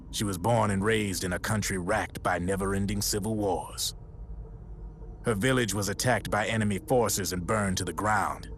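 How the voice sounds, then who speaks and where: A grim game soldier with a low voice. He tells dark tales in a measured, ominous, folksy manner, with faint noise behind him. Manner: measured, ominous, colloquial storytelling. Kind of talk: dramatic game cutscene storytelling.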